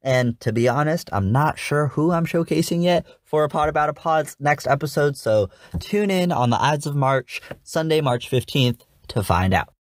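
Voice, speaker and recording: A man's voice: a little high, animated, normal speed, dry recording. A man hosting a podcast in a chatty, folksy way, speaking directly to his listeners. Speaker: podcast host. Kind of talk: conversational podcast commentary.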